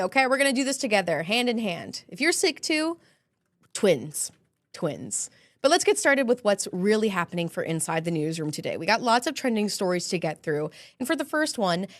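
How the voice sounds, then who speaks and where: A young woman anchoring a newsroom segment, her nose stuffy and congested from a cold. She talks in a chatty, bubbly way with lively upswings. The studio sound is close and dry. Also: medium pitch, dry recording. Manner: chatty and bubbly, rising inflections. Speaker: news anchor hosting a digital segment. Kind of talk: upbeat scripted news hosting.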